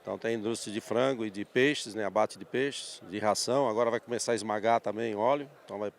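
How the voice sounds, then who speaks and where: A man in his sixties from southern Brazil with a Paraná accent, a company manager telling his life story in a measured, thoughtful way during an outdoor interview at a farm show. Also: lowish voice. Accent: Paraná Brazilian Portuguese. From Santa Catarina, Brazil. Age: 60s. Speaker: guest, agricultural machinery dealer manager. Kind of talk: interview conversation.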